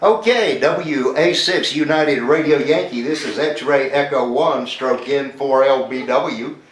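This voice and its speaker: A man's voice: medium pitch, measured pace, slight background noise. Chatty man on echoing ham radio. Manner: chatty, repeats details, spells phonetically. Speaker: amateur radio operator. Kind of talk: conversational ham radio contact.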